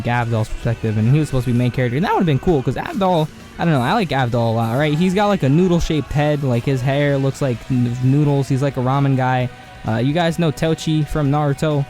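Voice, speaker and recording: A man giving a casual anime commentary, rambling and slangy with lots of filler words, his voice hoarse and cracking. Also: fairly high pitch, a little mellow. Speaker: video essay host.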